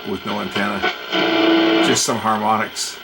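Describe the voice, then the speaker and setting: A man's voice: lowish voice, level tone, normal speed, over loud music, poor audio. A man giving casual, hesitant commentary, thinking aloud as he works hands-on at a repair job. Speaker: host of a radio repair video. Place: indoor electronics workbench.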